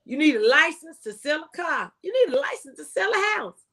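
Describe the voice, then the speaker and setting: A woman's voice: sits high, big pitch swings, a little fast, a bit bright. An American woman speaking as a former tax agent, giving folksy, emphatic advice and repeating phrases for stress. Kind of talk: impassioned conversational tax advice.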